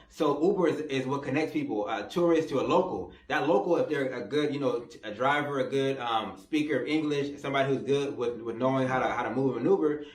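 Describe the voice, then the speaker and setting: A young man talks fast and loosely to his camera, often tripping over words and starting sentences again. He sounds persuasive and insistent, and his voice bounces around a reverberant room. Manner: rambling, repeats words and restarts, persuasive pitch. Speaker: vlogger. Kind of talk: casual to-camera commentary. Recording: roomy echo.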